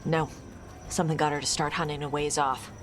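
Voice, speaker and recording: An actress playing a blunt, guarded young dragon hunter in a video game, speaking in terse, casual phrases. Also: female voice, low-pitched, flat delivery, fairly quick, noisy background, natural voice. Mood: focused, blunt. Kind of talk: video game cutscene acting. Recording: music behind the voice.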